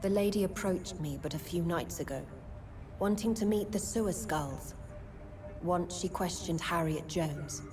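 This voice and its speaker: A woman playing a wise old vampire in a video game, calm and cryptic. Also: fairly low pitch, measured pace, over music, close to an everyday voice. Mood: grave, knowing. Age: older.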